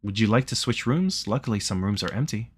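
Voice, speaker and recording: A man voicing a polite, apologetic male ski lodge owner in a visual novel, measured and courteous. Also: fairly low pitch, lively intonation, slightly rough.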